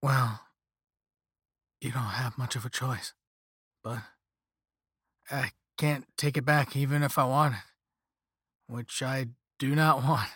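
A man's voice: medium pitch, steady tone, slow, long pauses, bright, forceful tone, husky, no echo, studio quality, full character voice. A man voicing a flippant, easygoing young rich slacker in a story, with a casual slangy drawl and sarcastic half-apologies. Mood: smug, placating. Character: flippant, laid-back young rich slacker on a phone call.

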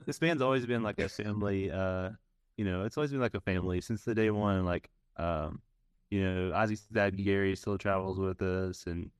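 A man in his thirties, a band's bass player, answering interview questions in an easygoing way. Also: moderate pace, clear, resonant tone, dry recording, clean studio sound. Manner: easygoing, lots of "you know" and "like". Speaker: band bassist, guest. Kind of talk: casual interview.

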